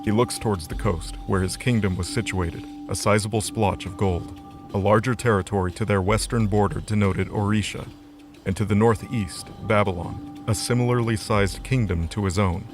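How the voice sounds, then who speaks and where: A man's voice: lowish voice, fairly even intonation, moderate pace, over music. A man narrating a scripted audio drama as its storyteller.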